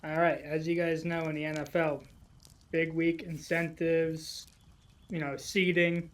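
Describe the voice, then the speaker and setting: A man's voice: a little high, little pitch movement, a little slow. A man co-hosting a podcast, giving sports picks in casual, colloquial talk.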